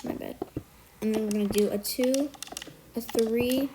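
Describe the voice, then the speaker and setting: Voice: medium pitch, steady tone, a little slow, background noise. A tutorial maker who is a bit sick today talks step by step through a building tutorial, counting out blocks and correcting small slips along the way. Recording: music playing under the voice.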